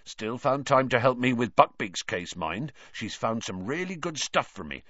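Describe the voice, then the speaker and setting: A man voicing a big, kindly, gruff gamekeeper in a storybook, earnest and plain-spoken with rustic dropped words. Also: medium pitch, sing-song, moderate pace, fairly bright tone, no echo, dull audio. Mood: concerned.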